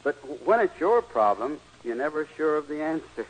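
An actor playing a meek, earnest greeting-card poet, hesitant and apologetic, in 1940s radio comedy style on a muffled old broadcast. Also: male voice, on the high side, sing-song, normal speed. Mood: regretful.

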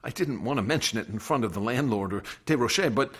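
An earnest young male student in a radio drama. His pitch moves in a lively way and the voice is husky, with a troubled, hesitant, emotional delivery. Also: quick pace. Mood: troubled, remorseful.

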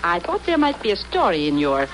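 An actress playing a poised, sly freelance newspaper reporter, coy and teasing, in 1950s radio drama style. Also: female voice, on the low side, wildly swooping pitch, a little fast. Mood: coy, probing. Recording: noisy old 1950s radio recording.

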